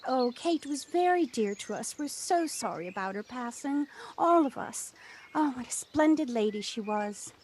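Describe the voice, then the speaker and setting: A welcoming, outdoorsy village mother , played by an actress in an audio play. She speaks high and with lots of melodic movement, chatty and effusive. The home recording has slight noise and birdsong. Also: animated, moderate pace, a little mellow. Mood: sympathetic. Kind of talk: audio drama acting. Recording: noisy home recording on personal device.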